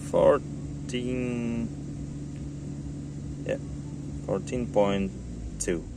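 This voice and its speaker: A man from Ukraine with a Ukrainian accent, explaining car parts step by step in halting, non-native English, in a casual how-to style. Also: medium pitch, gravelly. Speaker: car hobbyist showing parts. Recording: loud background noise.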